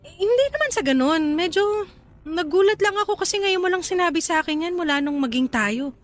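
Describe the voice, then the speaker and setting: A woman's voice: very high-pitched, animated, brisk, soft background music. A Filipino woman acting a guarded, evasive partner with a secret, in radio drama dialogue. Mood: nervous, uneasy. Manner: hesitant, deflecting.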